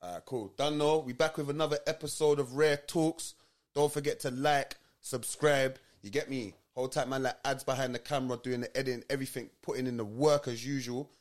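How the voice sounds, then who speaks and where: A man's voice: medium pitch, steady tone, brisk. A young man hosting a casual podcast, full of slang like "you get me". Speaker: podcast host. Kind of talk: casual chat.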